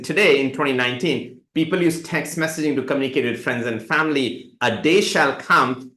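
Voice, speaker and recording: A middle-aged man from India, a native Hindi speaker, tells stories with energy and slips into other people's voices when he quotes them. His pitch sits in the middle range. The room around him sounds hollow and echoey. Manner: animated storytelling, quotes others in lively voices. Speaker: tech company CEO, guest. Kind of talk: live webinar interview.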